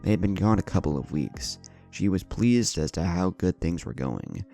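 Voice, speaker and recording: A man narrates a scripted story in a very low, dark voice. There is a lot of fry in his tone, and faint music plays behind him. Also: sing-song, normal speed. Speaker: fan fiction narrator.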